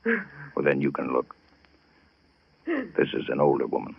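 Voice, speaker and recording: Radio-era detective: brusque, commanding, clipped questions, animated range, slightly dull vintage broadcast recording. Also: male voice, extremely low pitch, a little slow, vocal fry, husky, exaggerated voice. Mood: hushed, grave. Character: brusque, hard-nosed police detective taking charge. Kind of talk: 1940s radio drama acting.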